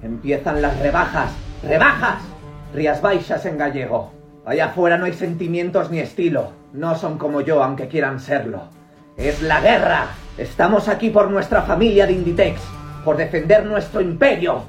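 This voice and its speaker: A man playing a haughty, bossy female store manager in a comedy sketch, imperious and deadpan, with a Castilian Spanish accent. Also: a little high, moderate pace, faint music behind, lightly acted. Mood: militant, rousing.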